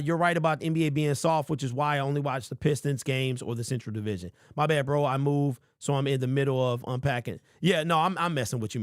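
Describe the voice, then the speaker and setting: A man in his forties hosting a casual sports talk show, reading viewer comments and rambling with lively emphasis. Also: on the high side, no room sound, clean studio sound. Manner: animated, rambling, calls listeners bro. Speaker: sports podcast host.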